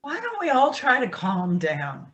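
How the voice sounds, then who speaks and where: A woman playing a conciliatory, gently meddling family peacemaker in a staged play reading, placating and tentative. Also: sits high, huge pitch swings, unhurried, lo-fi sound, slight background noise, heavily acted. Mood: placating, concerned.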